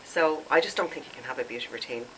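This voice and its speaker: Low bright-voiced woman, stuffed up. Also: fast talker, slight background noise, low-quality recording. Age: late 40s. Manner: chatty, rambling, laughs at herself. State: congested, stuffed up. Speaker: skincare vlogger. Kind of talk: casual chat to camera.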